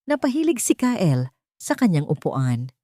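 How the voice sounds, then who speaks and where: Female narrator, lower register, scripted romantic drama storytelling, close dry sound. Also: very animated, unhurried, dark tone, no room sound.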